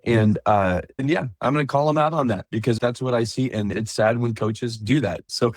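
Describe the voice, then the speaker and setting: A man's voice: medium pitch, no echo, clean studio sound. A man co-hosting a soccer podcast who speaks bluntly and pushes the conversation forward. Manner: blunt, quick to push the talk along. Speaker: podcast co-host. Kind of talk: casual chat.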